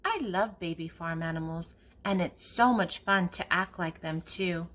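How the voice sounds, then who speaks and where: An older woman reading a picture book aloud to young children in a playful, animated way as the host. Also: on the low side, measured pace. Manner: playful, acting out animal sounds.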